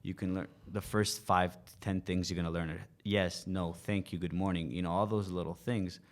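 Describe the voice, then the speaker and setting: Deep-voiced young man coaching languages. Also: steady tone, normal speed. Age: 20s. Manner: explains with many "you know" fillers. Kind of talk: casual podcast interview.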